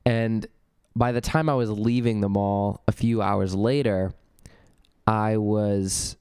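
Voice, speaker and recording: A young man with a low, smooth voice that a cold has left slightly gravelly talks through his own podcast. He rambles loosely, full of ums and false starts. The sound is close and dry on a studio mic. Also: steady tone, takes it slowly, a little mellow, no room sound. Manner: rambling, many ums and restarts. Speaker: podcast host. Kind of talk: casual solo podcast talk. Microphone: studio vocal mic.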